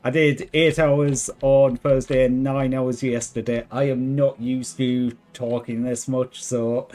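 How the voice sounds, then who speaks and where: A man streaming a game live with a worn, hoarse voice, thinking out loud and chatting with viewers. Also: mid-range voice, little pitch movement, takes it slowly. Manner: thinks aloud, chats with viewers. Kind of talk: relaxed live gameplay commentary. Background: faint background music.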